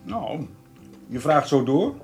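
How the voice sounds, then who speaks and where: A man playing a stubborn, homely farmer in a naturalistic radio drama, speaking haltingly and repeating his words. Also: fairly high pitch, very animated, vocal fry, a little mellow, soft background music, exaggerated voice. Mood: relaxed, curious. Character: stubborn, plain-spoken farmer, hesitant and homely.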